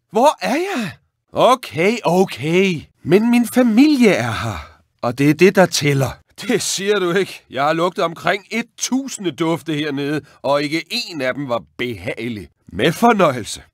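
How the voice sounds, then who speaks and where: A man voicing an eager young male rat who dreams of cooking, in an expressive, excitable and wry video game performance. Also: on the high side, no room sound, clean studio sound. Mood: eager, determined. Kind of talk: animated video game voice acting.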